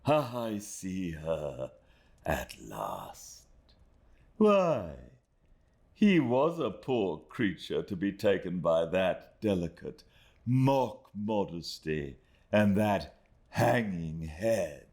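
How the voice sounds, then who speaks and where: A cold, proud woman , played by a man, sneers each word with slow, measured contempt. The voice sits a little above a man's normal pitch. It moves expressively between hushed and sharp. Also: sing-song. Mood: scornful.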